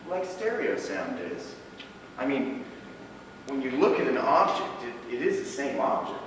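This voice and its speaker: A man on stage playing a confused, weary, drug-addicted undercover officer who speaks haltingly. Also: mid-range voice, animated, a bit bright. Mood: puzzled, groping. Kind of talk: live amateur stage play acting. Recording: echoey hall from far off, noisy.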